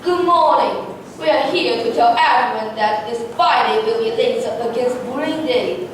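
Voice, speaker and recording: In a very echoey, noisy room, an earnest schoolgirl played by a woman speaks in a high voice. She reads her stage lines haltingly, as in a readers' theatre. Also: recorded from a distance, natural voice. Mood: earnest, eager. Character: earnest schoolgirl with an anti-bullying plan. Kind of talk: readers' theatre, reading a script aloud.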